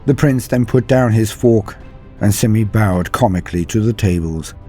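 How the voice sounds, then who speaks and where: A man narrating a scripted story in a solemn, theatrical manner, with deliberate dramatic pauses. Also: fairly low pitch, dark tone. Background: faint music.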